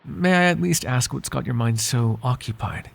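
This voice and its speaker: Male actor as devoted, courtly butler for ASMR roleplay; soft-spoken, husky, slightly gravelly, politely flirtatious, prone to hesitation. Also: mid-range voice, expressive, moderate pace. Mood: gentle concern. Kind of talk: ASMR roleplay voice acting, intimate one-sided dialogue.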